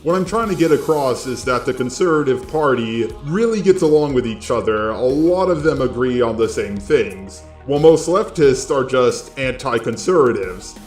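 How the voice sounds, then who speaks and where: A synthetic robot male voice reading a scripted video essay narration in a flat, mechanical text-to-speech delivery. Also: mid-range voice, roomy sound.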